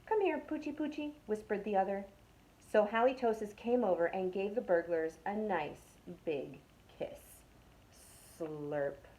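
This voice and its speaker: Expressive woman reading a children's story. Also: medium pitch, takes it slowly, a little hiss or hum, low-quality recording. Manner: expressive, drops to a whisper for suspense. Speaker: youth club storyteller. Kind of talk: reading a picture book aloud to children.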